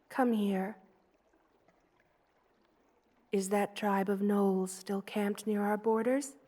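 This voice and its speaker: A woman's voice: mid-range voice, no room sound, close to an everyday voice. A woman playing a kind, protective spider queen with a tender, formal manner in an ASMR roleplay. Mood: quietly commanding. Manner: tender, doting, softly commanding, formal wording. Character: motherly female spider queen, kind, protective, regal monster. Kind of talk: ASMR roleplay audio drama.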